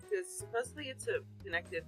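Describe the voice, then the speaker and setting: A laid-back man talking about himself with dry humor, his pitch moving up and down a lot. He comes through a quiet USB mic over a call, with faint music in the background. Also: lively intonation, brisk. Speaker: guest streamer. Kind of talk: casual chat over a video call. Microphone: USB mic with a headset, quiet.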